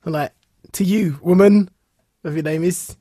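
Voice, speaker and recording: A man co-hosting a podcast in casual banter, amused, teasing and quick to mock himself. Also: high voice, dark tone, breathy edge, no room sound, clean studio sound. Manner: amused, teasing, self-mocking. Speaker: podcast co-host.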